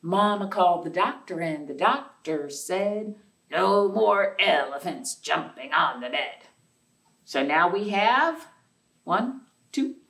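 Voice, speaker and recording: Lady doing kids storytime, super slow and singsongy, asks the kids stuff, kinda far from the mic in an echoey room. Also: on the low side, fairly bright tone. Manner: sing-song, addressing young children with questions. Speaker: library storytime host. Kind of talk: children's read-aloud storytime with counting rhymes. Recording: echoey room, distant microphone.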